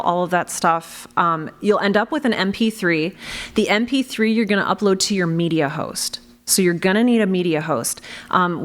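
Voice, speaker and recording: A woman giving unscripted, practical advice on a panel, emphatic and repeating her warnings. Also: medium pitch. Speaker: panelist and podcast creator. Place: convention panel room.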